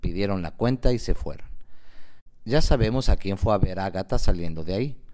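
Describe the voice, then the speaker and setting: A man's voice: low voice, animated, a little fast, warm tone. A man narrating a scripted radio novela in a dramatic storytelling style.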